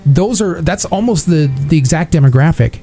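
A man's voice: a little high, expressive, moderate pace, warm tone, slightly rough, faint music behind. A man hosting a live local radio interview, eager and prone to restarting his words, with a slightly dry voice. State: dry voice, clearing throat. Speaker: radio show host.

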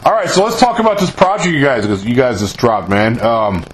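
A man's voice: mid-range voice, lively intonation, fairly quick, a little hiss or hum, rough recording. A man hosting a casual interview, enthusiastic and chatty, often adding "man". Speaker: interview host.

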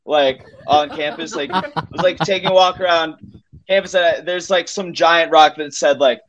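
Male comedian guest, somewhat high voice, loose conversational storytelling, halting and self-interrupting. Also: fairly even intonation, fairly bright tone, lo-fi sound.

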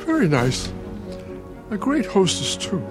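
Tired patient old husband in a radio play, pained, voice goes up and down a lot. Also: male voice, high voice, huge pitch swings, slow, music in the background, exaggerated voice. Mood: aching, tender. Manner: placating, pained, long-suffering. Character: weary, patient older husband caring for confused wife.

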